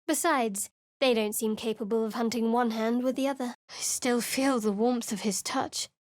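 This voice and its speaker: Woman doing a snarky cleric girl from a game, bit high voiced, dry studio sound. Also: fairly even intonation, moderate pace, no echo, lightly acted. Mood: sardonic. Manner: dry, cutting sarcasm. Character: guarded sardonic young woman cleric. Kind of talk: studio video game voice acting. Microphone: close studio mic.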